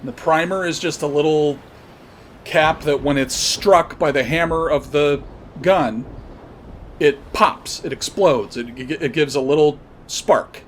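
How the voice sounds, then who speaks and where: A man's voice: on the high side, slow pace, a bit bright, slight background noise. A man delivering an opinionated commentary monologue, emphatically spelling out and repeating his points. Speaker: podcast host commentator.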